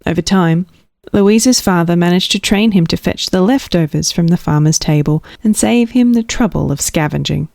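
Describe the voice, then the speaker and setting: A woman's voice: fairly low pitch, normal speed, creaky, a little mellow, no echo, studio quality. A woman reading a children's storybook aloud with playful expression, dropping into whispers at tense moments. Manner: playful, drops to whispers for suspense. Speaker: storybook reader.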